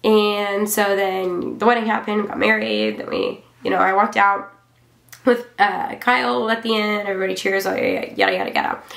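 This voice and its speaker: A woman in her twenties chats to camera in a small room at home that adds a clear echo. Her voice has a lot of fry at an average pitch. She rambles along offhandedly, as if telling a story in conversation. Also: very animated, normal speed, fairly bright tone. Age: 20s. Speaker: vlogger reviewing own wedding. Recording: roomy indoor echo.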